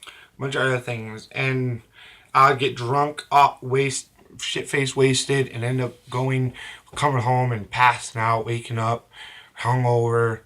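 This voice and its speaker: A young man with a bright voice roughened by a cold talks straight to camera. He tells a confessional story in a halting, rambling way, often stopping mid-phrase to correct himself. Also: medium pitch, flat delivery. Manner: rambling, halting, frequent restarts and self-corrections. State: hoarse from a cold. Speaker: vlogger. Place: at home.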